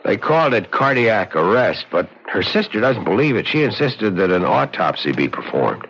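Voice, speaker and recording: An American actor playing a blunt, skeptical investigator with a husky voice in a 1970s radio drama. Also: male voice, fairly low pitch, very animated, moderate pace, fairly bright tone, full character voice. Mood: insistent, grim. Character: dogged, skeptical man investigating a death. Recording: muffled 1970s radio recording.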